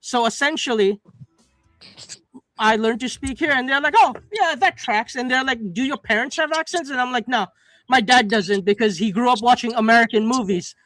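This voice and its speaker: High-pitched, brash, sweary man. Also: moderate pace, dry recording, rough recording. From born in South Asia, moved to Canada at nine. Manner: loud, brash, combative, sweary. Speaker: panel guest on a livestream. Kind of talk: casual banter, profane.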